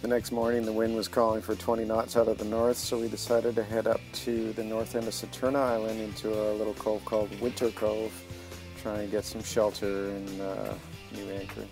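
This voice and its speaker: A man's voice: fairly low pitch, completely flat delivery, a little fast, vocal fry, music in the background, low-quality recording. A man narrating a sailing trip in a plain, matter-of-fact voice-over.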